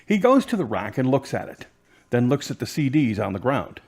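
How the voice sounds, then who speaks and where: A man's voice: medium pitch, sing-song, fairly quick, darkish tone, husky. Man narrating plainly. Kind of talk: scripted narration.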